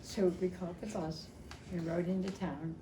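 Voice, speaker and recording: A woman's voice: on the low side, level tone. Older woman storytelling, echoey noisy room. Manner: halting, repeats phrases, chatty. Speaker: storyteller at a family memorial. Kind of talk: casual personal storytelling to a gathering. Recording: echoey room, clear background noise.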